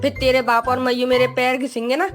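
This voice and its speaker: A shrill, ranting old mother-in-law, played by a woman, spits insults in a high, piercing voice. She scolds nonstop in a comedy sketch, with music playing clearly underneath. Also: flat delivery, a little fast, natural voice. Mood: shrieking abuse. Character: foul-mouthed, bad-tempered old mother-in-law, always shouting insults.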